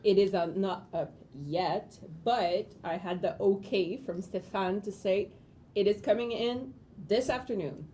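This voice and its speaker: A woman co-hosting a casual game-studio livestream, relaxed and quick to laugh. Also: mid-range voice, slight background noise, rough recording. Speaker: community stream co-host. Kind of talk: casual livestream news chat.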